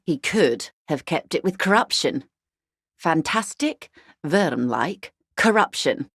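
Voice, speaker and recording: A wealthy older corporate negotiator, shrewd and urbane. Her voice sits fairly low and is recorded close and dry. She speaks in measured, polished lines with a wry edge, as video game dialogue. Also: no echo, full character voice.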